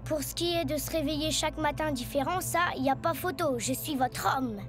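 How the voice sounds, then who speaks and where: A woman's voice: high-pitched, a little fast, close to an everyday voice. A woman playing a good-natured, plucky nine-year-old boy in a cartoon, boyish, colloquial and exclamatory. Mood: surprised, easygoing. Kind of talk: French cartoon dubbing. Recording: loud music behind the voice.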